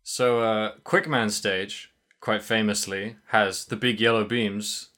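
A man co-hosting a casual podcast, with a lowish voice and a bright, forceful edge to his tone. He rambles and restarts his sentences as he talks through a video game. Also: fairly even intonation, slow. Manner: rambling, many restarts and fillers, laughs often. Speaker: podcast co-host.

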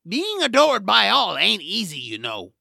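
Cranky high-voiced lazy troll. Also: male voice, wildly swooping pitch, a little slow, creaky, sharp tone, dry recording, clean studio sound, full character voice. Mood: pompous, lazy. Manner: cranky, pompous. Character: grumpy, lazy, vain male adult troll. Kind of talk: family fantasy audio drama.

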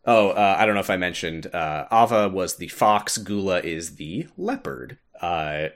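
Low voiced guy on a podcast retelling game lore, chatty, laughs a lot. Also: lively intonation, a little slow. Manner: laughs often, informal, mildly sweary. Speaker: podcast host. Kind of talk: casual retelling of a game story with commentary.

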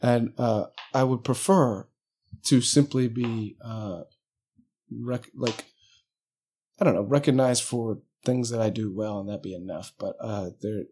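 A man's voice: mid-range voice, unhurried, dark tone, slightly rough. A man talking casually in a conversation, laid-back and joking, working through his ideas aloud with hesitations.

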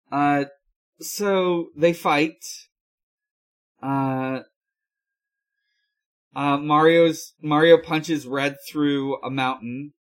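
A man hosting a podcast, giving a casual recap in a halting, hesitant way. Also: on the high side. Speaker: podcast host. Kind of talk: casual episode recap chat.

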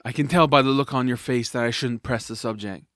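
A man playing an excitable, kind-hearted young boy hero in a family audio drama, with an earnest, playful delivery. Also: mid-range voice, a little fast, no room sound, clean studio sound, lightly acted. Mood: gentle, earnest.

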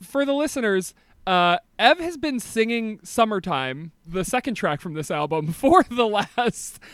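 A male podcast host with a high voice, recorded close and dry. He talks in casual comedic banter, joking as he goes, and his pitch moves around a great deal. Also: lively intonation, measured pace, fairly bright tone, clear, resonant tone, dry recording.

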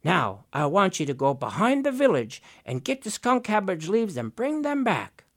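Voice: medium pitch, huge pitch swings, moderate pace, pitched above the performer's own voice. Storyteller doing a wheedling trickster raven. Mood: bossy. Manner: wheedling, hesitant, stammering. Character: scheming male raven trickster.

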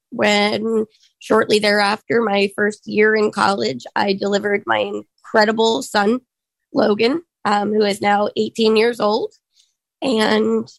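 Woman, 30s, hoarse and gravelly, dry close sound, earnest personal talk with lots of ums. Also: a little high, no room sound, rough recording. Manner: earnest, reflective, pauses with frequent ums. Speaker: speaker sharing her story.

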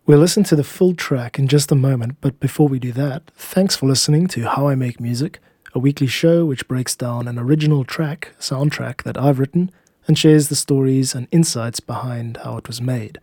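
A man narrates his podcast in a relaxed, mellow voice of medium pitch. His delivery is scripted and reflective, with deliberate phrasing. The sound is dry, with no room echo. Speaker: podcast host and composer.

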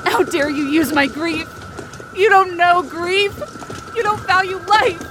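A woman's voice: very high-pitched, steady tone, a little slow, background noise. A woman giving intense, theatrical first-person narration for a scripted fiction podcast, in short dramatic sentences. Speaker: writer and performer of fiction podcast.

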